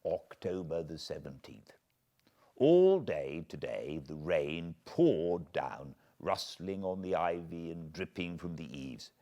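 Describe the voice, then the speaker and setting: A British man reading a classic novel aloud as a narrator, measured and expressive, at home beside a fireplace. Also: deep voice. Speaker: audiobook narrator. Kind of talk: unabridged audiobook reading.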